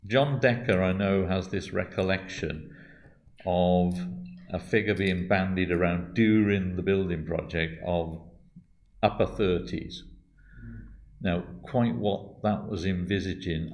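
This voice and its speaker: A man's voice: low-pitched, steady tone, unhurried. A man answering questions on church accounts at a congregational meeting, hesitant and full of qualifiers. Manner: hesitant, with many restarts and qualifiers. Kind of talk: meeting talk, thinking aloud.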